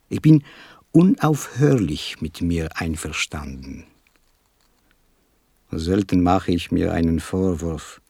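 Eccentric poet, deep, swooping voice. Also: male voice, a little mellow, husky, no room sound, studio quality, exaggerated voice. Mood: self-satisfied, musing. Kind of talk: theatrical literary recitation with music. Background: musical instruments.

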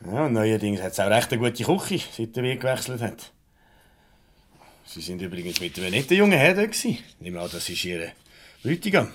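A patronising middle-aged Swiss German boss, halting and ingratiating in radio comedy style. His rough, husky voice jumps up and down a great deal. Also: on the low side, very animated. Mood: jovial, probing. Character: awkward, patronising married male boss with a secret. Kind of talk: light radio comedy play acting.

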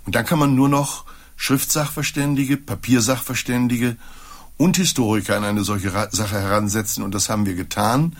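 A man's voice: mid-range voice, fairly even intonation, normal speed. An older man, a magazine publisher, defending his position in an archival interview with insistent, self-assured arguments.

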